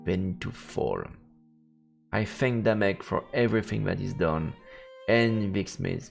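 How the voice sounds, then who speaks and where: A man's voice: deep voice, lively intonation, a little slow, gravelly, soft background music. A man streaming a visual novel, reading the narration aloud and adding casual reactions.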